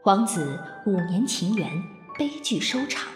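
Woman narrating a radio drama real slow, lots of rise and fall, echoey, faint music. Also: medium pitch, sing-song.

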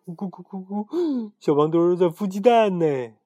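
A cackling loudmouth bird, as a man puts him on, high and jeering. He taunts loudly and never lets up. The sound is close and dry, like a storybook reading. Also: expressive, moderate pace, no room sound. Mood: mocking. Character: mocking loudmouthed male bird, a bully.

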